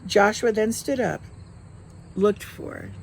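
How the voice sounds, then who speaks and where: Creaky-voiced woman reading a story. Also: mid-range voice, takes it slowly, noisy background. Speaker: author reading own novel aloud. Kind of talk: expressive audiobook-style narration.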